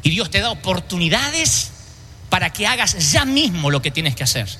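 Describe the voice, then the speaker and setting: A man's voice: sits high, sing-song, normal speed, bright, forceful tone, a little hiss or hum. A man preaching a sermon to a congregation, emphatic and fond of rhetorical questions. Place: church service.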